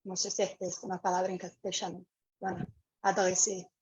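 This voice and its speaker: A woman from Brazil with a Brazilian Portuguese accent speaking on a video call, unhurried and searching for words with many pauses. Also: lowish voice, little pitch movement, a little mellow, dull audio. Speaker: community member sharing. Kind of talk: online meeting talk. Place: home room with a fan.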